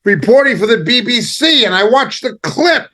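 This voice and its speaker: A man giving impassioned spoken commentary as a preacher, indignant and emphatic, speaking in short halting phrases. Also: extremely high pitch, sing-song, sharp tone.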